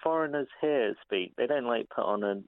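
A man's voice: mid-range voice, normal speed, no room sound. A man chatting on the radio, asking curious, hesitant questions and laughing often. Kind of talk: casual radio chat. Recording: tinny phone line.

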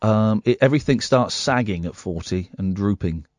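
A man's voice: lowish voice, expressive, moderate pace, dry recording. A man in his forties hosting a late-night radio phone-in, playful, self-deprecating and rambling. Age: 40s. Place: radio studio. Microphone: studio microphone.

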